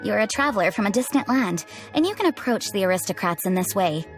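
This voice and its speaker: A woman's voice: mid-range voice, animated, quick pace, soft background music, natural voice. A woman playing a diplomatic, courteous knight commander in a video game, speaking formally and earnestly. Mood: calm, encouraging. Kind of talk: scripted video game voice acting.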